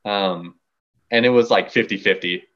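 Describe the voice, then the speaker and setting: A young man in his late teens with a low voice, chatting casually on a podcast. He tells his story in a relaxed, playfully boastful way. The recording sounds dull and lo-fi. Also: moderate pace. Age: young adult. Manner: laid-back, playful boasting. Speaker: guest musician.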